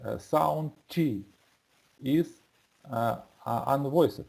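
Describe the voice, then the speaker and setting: An English learner with a non-native accent, speaking haltingly in a lesson and repeating words to practise. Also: mid-range voice, gravelly, darkish tone, breathy edge. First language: not English.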